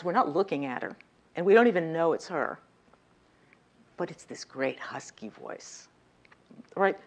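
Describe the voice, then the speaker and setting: Lively woman lecturing an audience. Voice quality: voice a little high, by her own account. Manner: lively, asks the audience questions. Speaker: communication workshop speaker. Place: lecture room.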